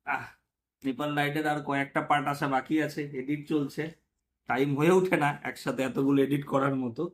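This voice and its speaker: A man's voice: mid-range voice, steady tone, a little fast. A man narrating a hands-on motorcycle vlog tutorial casually, talking viewers through each step in an informal way. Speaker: motorcycle vlogger.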